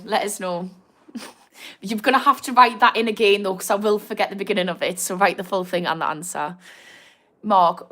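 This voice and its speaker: A woman's voice: mid-range voice, fairly bright tone. A young woman from Newcastle with a Geordie accent, chatting casually on a livestream and reading viewer comments aloud. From Newcastle, England. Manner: chatty, informal, reads viewer comments aloud, laughs easily. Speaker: livestream host on addiction recovery. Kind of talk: casual live-stream chat reading comments.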